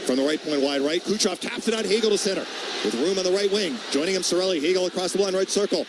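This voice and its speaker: A man calling live hockey on the radio in clipped, quick phrases as play moves. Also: sits high. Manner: clipped, rapid-fire phrases following the action. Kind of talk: live sports commentary. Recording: noisy radio broadcast from an arena.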